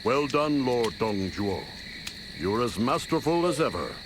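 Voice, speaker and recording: An actor playing a proud, principled noble officer in a video game, speaking in a formal, courtly and earnest way. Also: male voice, mid-range voice, very animated, unhurried, noisy background. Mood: admiring. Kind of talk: dramatic video game acting.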